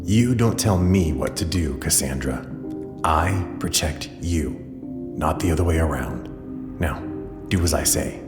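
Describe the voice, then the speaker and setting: An actor playing a gruff, proud, protective brother and battle-worn hunter in an audio drama, blunt and commanding. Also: male voice, extremely low pitch, lively intonation, unhurried, over music. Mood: stern, commanding. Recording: echoey hall with long reverb.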